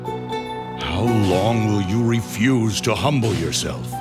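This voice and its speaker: A man's voice: fairly low pitch, slow. A man playing Moses, a resolute, commanding prophet in a dramatized children's Bible story. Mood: stern warning. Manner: commanding, firm. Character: Moses, stern, resolute male prophet confronting a king. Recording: loud music behind the voice. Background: music.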